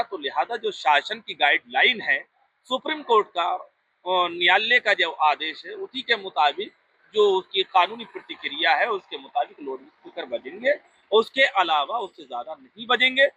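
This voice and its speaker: A man's voice: high-pitched, expressive, moderate pace, bright, forceful tone. A man giving a formal advisory as a cleric, repeating phrases for emphasis. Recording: dull lo-fi audio with background noise.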